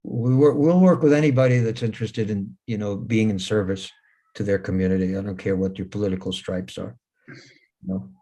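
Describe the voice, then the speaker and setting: Man in his 70s, hebrew first language, lowish voice, wry, kinda blunt, trips over words, talking on a video call. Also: fairly even intonation, fairly quick, mellow. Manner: repeats himself, plain-spoken, wry. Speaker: speaker at a talk. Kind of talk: casual talk.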